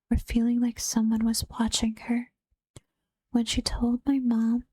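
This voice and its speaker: A woman softly whispering ghost stories in a soft-spoken ASMR reading, close to the mic. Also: on the high side, slightly rough, no echo, poor audio.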